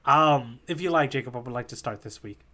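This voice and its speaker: A man's voice: mid-range voice, a little fast, bright, forceful tone. A man co-hosting a podcast, chatting casually about comics in a rambling way that often repeats words. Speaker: podcast co-host. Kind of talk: casual chat about a manga.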